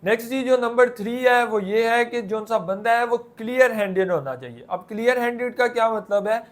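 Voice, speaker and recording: A man's voice: very high voice, moderate pace. A man with a Pakistani accent giving an explanatory lecture, in a didactic way that poses questions and then answers them. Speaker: law lecturer.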